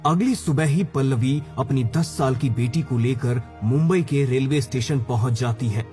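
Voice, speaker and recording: A man narrates a scripted horror tale as the storyteller. His pitch sits in the middle range for a man. Music plays beneath his voice. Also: moderate pace, warm tone. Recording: music under the voice.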